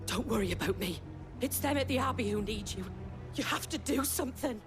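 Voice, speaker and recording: A woman's voice: on the high side, lively intonation, brisk, over music, natural voice. A woman playing a tough, outspoken innkeeper in a fantasy video game, blunt and earthy. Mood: urgent, pleading.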